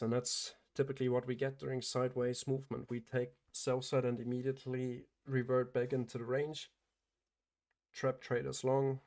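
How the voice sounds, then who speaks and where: A man talks viewers through his trades on a livestream in a flat, matter-of-fact way. His voice has a gravelly fry, and he is hoarse as it starts to give out. He keeps clearing his throat. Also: medium pitch. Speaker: day trader hosting a livestream. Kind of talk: live trading commentary.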